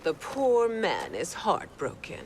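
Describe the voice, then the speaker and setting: A woman's voice: medium pitch, wildly swooping pitch, slow pace, very noisy. An icy evil queen. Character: cold, cruel evil queen, imperious. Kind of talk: video game cutscene acting. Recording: game cutscene over loud orchestral score.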